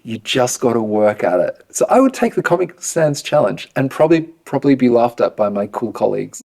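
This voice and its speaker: A man with an Australian accent, a program director answering interview questions in a relaxed, joking way. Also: medium pitch, a little fast, vocal fry. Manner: relaxed, self-deprecating humor. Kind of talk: casual interview.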